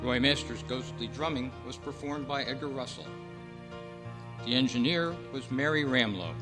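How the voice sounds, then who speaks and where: A man works as a radio show announcer, in a mid-range voice with formal, projected delivery. He reads scripted credits in old-time radio fashion while music plays clearly behind him. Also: mid-range voice, normal speed.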